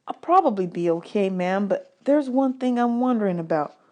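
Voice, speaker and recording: A woman's voice: mid-range voice, animated, moderate pace, dark tone, lightly acted. A woman voicing a polite, nervous, imaginative young orphan boy with a folksy, earnest manner in a children's audiobook. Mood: polite, uneasy.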